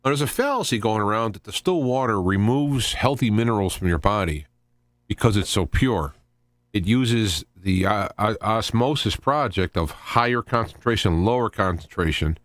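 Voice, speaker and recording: A man hosting an informal live radio show, explaining things in a rambling, halting monologue with repeated word starts. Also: fairly low pitch, lively intonation, no room sound, studio quality. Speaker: radio host.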